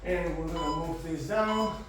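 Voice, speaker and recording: A man's voice: on the high side, unhurried, heavy reverb, recorded from a distance, noisy background. A man with a congested, blocked-nose voice, coaching a home garage workout and cheering viewers through their reps. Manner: energetic, encouraging, counting reps. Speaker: fitness coach. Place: garage gym.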